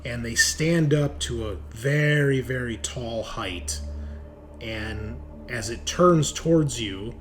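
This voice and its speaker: Slow-paced man narrating a tabletop game. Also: medium pitch, soft background music. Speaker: game master. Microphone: online group call. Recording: clear background noise.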